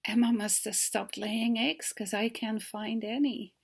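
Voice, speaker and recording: Lady reading as a farmer guy in a kids book, unhurried, repeats words for emphasis. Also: fairly high pitch, steady tone, creaky, breathy edge, heavily acted. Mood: puzzled. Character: scolding male farmer in a children's storybook.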